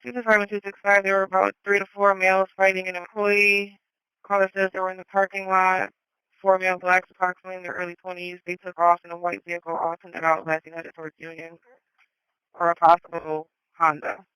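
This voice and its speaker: A woman's voice: fairly low pitch, completely flat delivery, a little fast, pushed and bright, clean tone, dry recording, poor audio. A woman working as an emergency dispatcher, giving incident details over two-way radio in a clipped, matter-of-fact way. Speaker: police and EMS radio dispatcher. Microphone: dispatch radio microphone. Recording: close dry police scanner radio audio.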